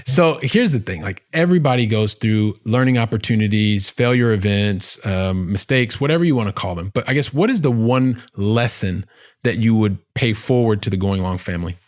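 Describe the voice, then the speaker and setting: A man hosting a podcast in a conversational interview style, with wordy, halting phrasing and catchphrases. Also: lowish voice, animated. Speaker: podcast host.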